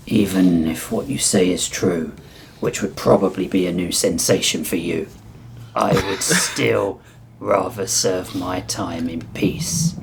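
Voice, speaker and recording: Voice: low voice, steady tone, slow, creaky, noisy background, pitched below the performer's own voice, full character voice. A man voicing a huge, cultured reptile inmate who speaks formally with restrained, wordy disdain. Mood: cold restraint.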